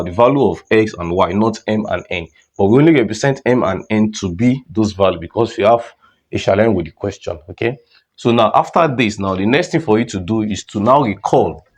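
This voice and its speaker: Man, lowish voice, has a cold, maths teacher, step-by-step, asks questions. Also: darkish tone. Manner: repeats words and restarts phrases. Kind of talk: whiteboard maths lesson.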